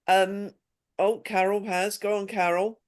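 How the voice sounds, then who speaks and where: A woman hosting a readers' video call, brisk and organising as she wraps things up. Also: medium pitch, level tone. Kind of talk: casual video call chat.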